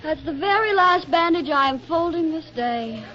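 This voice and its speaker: An actress playing a brisk, wry young housewife and devoted mother in a 1940s radio comedy, with a theatrical delivery. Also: high-pitched, animated, bright, forceful tone. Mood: brisk, curious. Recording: dull, faintly noisy 1940s radio recording.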